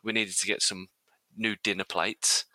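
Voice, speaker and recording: A man's voice: fairly low pitch, little pitch movement, sharp tone, no room sound, low-quality recording. A running coach co-hosting a podcast, a man who teases his co-host and chats casually. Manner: teasing, quick with jokes. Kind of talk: casual chat.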